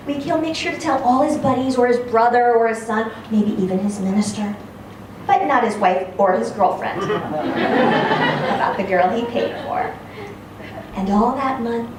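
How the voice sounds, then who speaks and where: High-voiced woman reading in a very echoey room. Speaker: story reader. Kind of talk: live dramatic literary reading. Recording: very echoey room, distant mic, faint noise.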